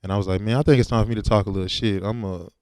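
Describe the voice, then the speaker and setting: Laid back rapper guy chatting in an interview, smooth voice, real dry sound. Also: on the low side, steady tone, very fast, no room sound. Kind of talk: casual interview talk.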